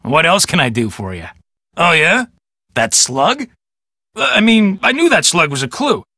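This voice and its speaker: An actor playing a vain, boastful and defensive police detective in a video game, with an American accent and a self-important, dismissive manner. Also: male voice, a little high, big pitch swings, measured pace, pushed and bright, dry recording, studio quality, heavily acted. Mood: smug, dismissive. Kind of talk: video game character acting.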